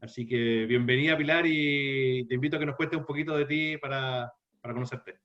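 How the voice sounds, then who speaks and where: A man's voice: medium pitch, steady tone, brisk, fairly bright tone. Man moderating a panel over dull audio.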